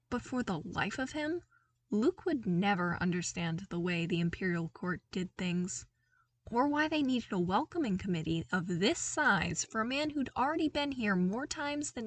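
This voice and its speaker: Woman reading a story out loud, dramatic and expressive, medium pitch, dry room but kinda off the mic. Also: no room sound, far from the mic. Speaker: podfic reader. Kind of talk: expressive scripted fiction narration.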